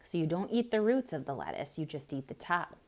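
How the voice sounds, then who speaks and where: A woman reading a picture book aloud to children, pausing to point things out and ask them questions. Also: sits low. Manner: slow, expressive, asks children questions. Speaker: children's storyteller.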